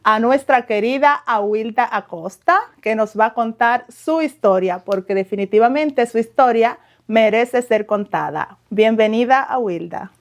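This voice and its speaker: Woman host, bit high pitched, asks questions warmly. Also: a little slow, hardly any pauses. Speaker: podcast host. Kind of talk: interview hosting.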